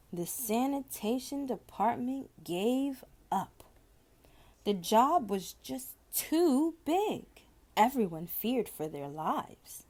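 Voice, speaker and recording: A woman reading a children's story aloud, with deliberate, expressive phrasing and drawn-out words. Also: on the high side. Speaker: storybook reader.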